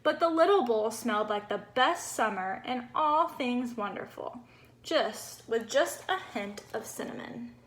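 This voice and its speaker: Little snowman read by a woman, high voice, bubbly, innocent, cheerful storybook narrator. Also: expressive, measured pace, fairly bright tone. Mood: curious, upbeat. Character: cheerful, naive little male snowman telling his story.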